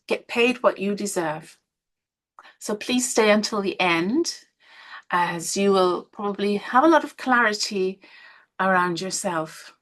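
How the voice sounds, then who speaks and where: A middle-aged woman with an Irish accent, hosting a live online webinar and encouraging participants with gentle, soft-spoken asides. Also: medium pitch. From Ireland. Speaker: webinar host and women's coach.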